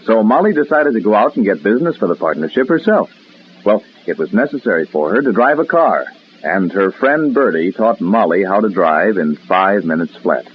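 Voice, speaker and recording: A man announcing an old-time radio soap opera, narrating dramatically with deliberate pauses. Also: on the low side, sing-song, muffled recording, soft background music.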